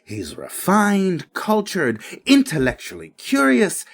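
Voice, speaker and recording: An actor playing a precise, haughty tech schemer with a prim, formal, condescending manner in a comedy audio drama. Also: male voice, high voice, huge pitch swings, slow pace, vocal fry, full character voice. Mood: smug.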